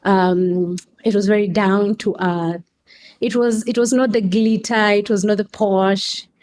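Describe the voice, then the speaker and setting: A young adult woman from Kenya telling a podcast host about her path into coffee, speaking slowly with fillers and restarts. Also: mid-range voice, steady tone, darkish tone. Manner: unhurried, frequent restarts and fillers. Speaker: guest, coffee customer experience manager. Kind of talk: casual interview answers.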